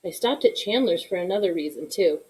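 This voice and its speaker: A woman reading a children's story aloud in an expressive style, with deliberate, dramatic emphasis. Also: on the low side, low-quality recording. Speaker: storybook reader.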